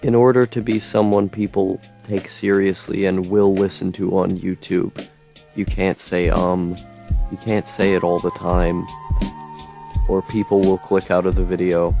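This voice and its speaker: A young man talking to camera in a rambling monologue with a droning, monotone voice, full of ums, restarts and frustrated swearing. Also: sits low, a little slow, creaky, soft background music. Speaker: YouTuber. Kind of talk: personal monologue.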